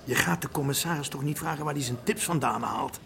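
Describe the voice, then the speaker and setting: An actor playing a loyal police detective in a radio drama, scolding and mocking. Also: male voice, a little high, fast, vocal fry, sharp tone. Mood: exasperated.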